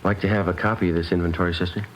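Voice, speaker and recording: Guy playing old radio cop, real deep voice, fast n clipped, totally deadpan, all business. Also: close to an everyday voice. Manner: deadpan, clipped, matter-of-fact. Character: terse, businesslike male police sergeant investigating a case. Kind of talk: 1950s radio drama acting. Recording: old radio recording, faint noise.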